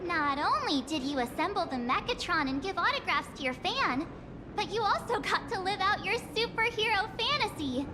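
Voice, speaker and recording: A woman's voice: extremely high pitch, expressive, normal speed, in a large hall, noisy background. A woman voicing a small, perky, cheeky childlike girl companion in a video game, bubbly and teasing. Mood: teasing, gleeful. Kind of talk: animated video game character dialogue.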